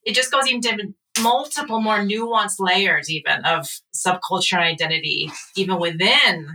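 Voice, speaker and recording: Bright-toned woman, reflective podcast talk. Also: medium pitch, moderate pace, recorded from a distance, slight background noise, low-quality recording. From Los Angeles, US. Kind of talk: casual podcast chat.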